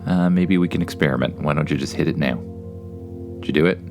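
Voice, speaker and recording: A man's voice: rumbling low, fast, creaky, darkish tone, faint music behind. A man with a Canadian accent hosts and narrates a children's bedtime story in an informal, conversational way, with wry, dry playfulness.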